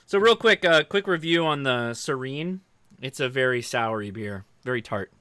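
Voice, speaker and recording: Male American livestream host, crisp and bright delivery, informal and chatty, speaking straight to viewers. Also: mid-range voice, expressive, moderate pace, dry recording, clean studio sound. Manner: informal, joking, addresses viewers directly. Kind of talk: casual livestream chat, answering viewer questions.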